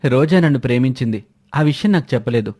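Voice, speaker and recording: A man voicing a calm, good-natured young army man and husband in a spoken moral story. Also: mid-range voice, fast talker, faint music behind, close to an everyday voice. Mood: recounting.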